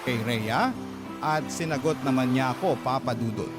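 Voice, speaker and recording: A Filipino man reads a scripted first-person story as the narrator of a radio drama. His voice sits at an average pitch, and loud music plays beneath it throughout. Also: moderate pace.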